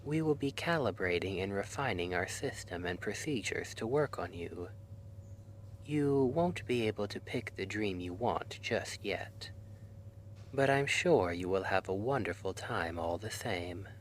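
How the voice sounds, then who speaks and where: A man playing a gentle, reassuring clinician at a dream institute in an ASMR roleplay, soft-spoken and soothing, often slipping into a whisper. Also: fairly low pitch, animated, a little slow, slight background noise. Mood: reassuring, explanatory.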